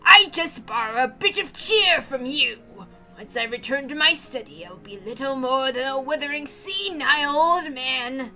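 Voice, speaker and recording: Woman acting a boisterous, drawn-out old grandfather. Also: sits high, expressive, sharp tone, soft background music, full character voice. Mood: wry. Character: boisterous laughing old grandfather, proud family patriarch.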